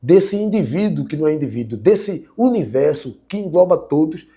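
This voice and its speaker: A man speaks as a capoeira teacher in an interview. His talk is reflective and halting, with phrases repeated and stacked into lists as he works out his thought. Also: a little high, very animated, warm tone, slightly rough.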